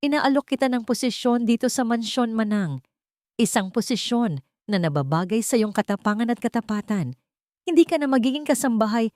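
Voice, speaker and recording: A woman's voice: fairly high pitch, lively intonation, a little fast, a little mellow, dry recording, voice pushed up, lightly acted. Woman as wealthy male boss, humbled, remorseful, earnest, audio story, dry recording. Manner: pleading, earnest.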